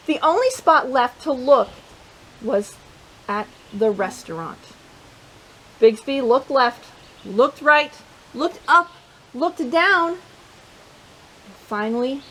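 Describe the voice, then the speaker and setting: Woman storytime host, high voice, playful picture-book reading for kids. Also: slight background noise, low-quality recording. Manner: animated and playful, with chatty asides to young listeners.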